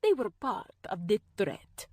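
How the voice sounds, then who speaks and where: Audiobook villainess type: a woman reading as a calm, chilling, self-righteous woman, slow and deliberate. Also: mid-range voice, no echo, studio quality. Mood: cold, calm. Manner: slow, calm, measured, at times whispered. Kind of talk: audiobook character voice.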